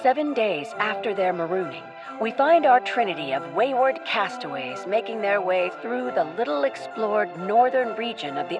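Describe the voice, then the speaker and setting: A woman's voice: medium pitch, poor audio. A woman narrator reading scripted adventure storytelling in a theatrical, literary manner. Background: music.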